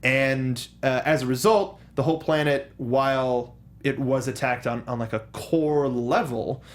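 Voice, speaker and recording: Halting, enthusiastic man talking film. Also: medium pitch, steady tone. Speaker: co-host of a film discussion show. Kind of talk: casual film commentary.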